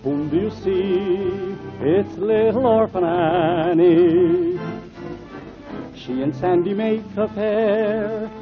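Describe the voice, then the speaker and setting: High-voiced man singing a 1930s jingle. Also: extremely slow. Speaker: theme song singer. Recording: dull, lo-fi 1930s radio with music.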